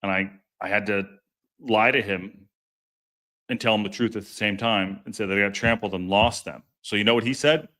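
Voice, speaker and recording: Rambling man with a deep voice. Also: normal speed, pushed and bright. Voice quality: deep, strong voice. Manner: rambling, self-interrupting, stumbles over words; chatty and jokey. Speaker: podcast host. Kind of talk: casual live-stream chat reading viewer comments.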